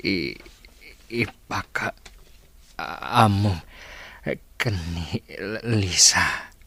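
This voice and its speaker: An actor playing a weak male stroke patient with broken, breathy, stammering speech in a radio drama. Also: deep voice, drawn-out words, bright, forceful tone, no echo, exaggerated voice. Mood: weak, labored. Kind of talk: Filipino radio drama acting.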